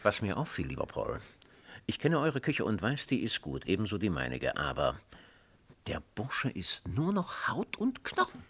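Anxious old minister for radio drama, super animated pitch, creaky, fussy n hesitant, very polite. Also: male voice, normal speed, full character voice. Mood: anxious, fretful. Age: older. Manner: hesitant, deferential, mannered. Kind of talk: German radio drama acting.

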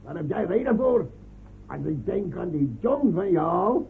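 An actor in a radio play voicing a blustering, easily cowed pub drinker who stammers and repeats himself. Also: male voice, sits high, expressive, a little slow, vocal fry, a little hiss or hum, exaggerated voice. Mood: challenging. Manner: stammering, repeats his words. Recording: phone-like old radio play recording.

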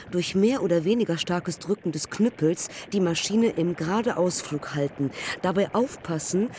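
A woman's voice: fairly low pitch, warm tone, natural voice. Actress as a clipped, haunted woman aviator, noisy backdrop. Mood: technical, focused. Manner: terse telegraphic fragments, measured. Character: young woman pioneer aviator, clipped, haunted, recalling her crashes. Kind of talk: literary radio drama acting.

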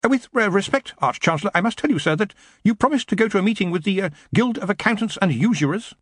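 A man's voice: high voice, expressive, quick pace, a bit bright, breathy edge, no room sound, clean studio sound, voice pushed up, heavily acted. A man voicing a nervous, dutiful young wizard assistant in an audiobook, hesitant, flustered and polite.

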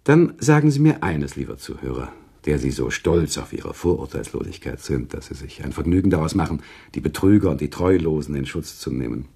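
A deep, gravelly male author in a literary radio play. He is earnest and reproachful, phrasing formally with wide, expressive swings of pitch. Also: brisk, hardly any pauses, full character voice. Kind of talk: German radio play dialogue, literary storytelling.

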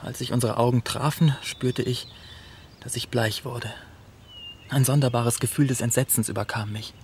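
Man acting an earnest sensitive artist, confiding, medium-pitched, faint ambience, radio drama. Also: fairly even intonation, normal speed, a little mellow, a little hiss or hum, close to an everyday voice. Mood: awed, intense. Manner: earnest, confessional. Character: earnest, sensitive painter, devoted and secretive. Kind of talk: German radio play acting.

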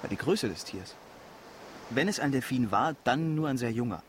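A man's voice: medium pitch, lively intonation, normal speed, a little hiss or hum, close to an everyday voice. A man playing an earnest, eager young marine biology student in a radio drama, polite and matter-of-fact. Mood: focused. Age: young adult.

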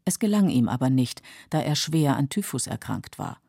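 A woman reading scripted documentary narration for a literary radio feature in a measured, factual, clearly articulated way. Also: very deep voice, sing-song, dark tone, no echo, studio quality.

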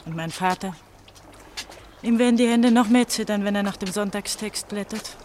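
A woman's voice: medium pitch, normal speed, darkish tone, a little hiss or hum, close to an everyday voice. Woman acting anxious young pastor's daughter, trickling water behind. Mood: anxious, rueful. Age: young adult. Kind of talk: radio drama acting. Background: trickling, dribbling water.

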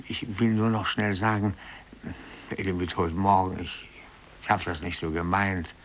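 Creaky, browbeaten older office clerk. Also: male voice, low-pitched. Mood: gentle, awkward. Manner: stammering, flustered. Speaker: radio drama actor. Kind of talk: 1950s German radio play acting. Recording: hissy 1950s radio recording.

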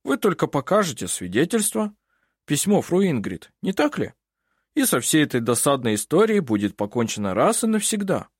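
A man's voice: fairly high pitch, wildly swooping pitch, normal speed, breathy edge, no echo, clean studio sound, voice pushed up, exaggerated voice. A man voicing a naive, timid male sheriff in an audiobook, fawning and stammering. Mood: nervous.

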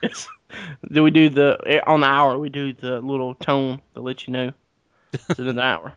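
Man, podcast co-host, deadpan dry humor, mid pitch, close dry sound. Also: normal speed, clear, resonant tone, no echo, lo-fi sound. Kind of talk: casual banter. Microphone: podcast mic.

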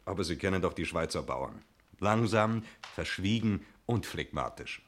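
Breezy chatty stranger, lowish voice, very animated intonation, glib politeness, 1960s German radio drama. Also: male voice, normal speed. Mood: smoothly explaining. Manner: glib, jovial, evasive politeness. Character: breezy, talkative male stranger claiming to own the chalet.

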